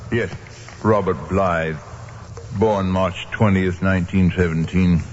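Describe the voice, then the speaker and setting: A man playing a formal, matter-of-fact cemetery records clerk in an old-time radio drama, speaking in a measured, solemn way. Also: deep voice, steady tone, highs cut off, slight background noise. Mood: solemn, factual.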